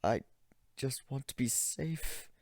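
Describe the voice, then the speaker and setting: A man's voice: mid-range voice, slow pace, a little mellow, dry recording, clean studio sound, voice pushed up. A man voicing a frightened, stuttering male mage in a dramatic fantasy story, trembling, pitiful and easily angered. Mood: whimpering panic. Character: panicked, pleading male mage turning angry and threatening.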